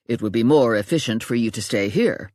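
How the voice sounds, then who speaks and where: Female narrator as cool eccentric male genius, lowered pitch, measured deadpan delivery, audiobook dialogue, dry close recording. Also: no room sound. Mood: calm, deadpan. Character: brilliant, odd, unflappable rich man, cool and literal.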